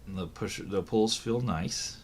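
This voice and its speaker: A hoarse man, voice still recovering from illness, chatting casually through a product unboxing, thinking aloud with fillers and corrections. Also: on the low side, measured pace, a little hiss or hum. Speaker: watch reviewer.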